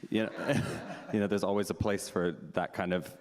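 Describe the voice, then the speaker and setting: Deep-voiced man, frank panel host. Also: fairly even intonation, moderate pace, darkish tone. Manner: frank, candid, thinking aloud. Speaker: panel host, drag performer. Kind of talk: live panel discussion. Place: meeting house hall. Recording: long hall reverb.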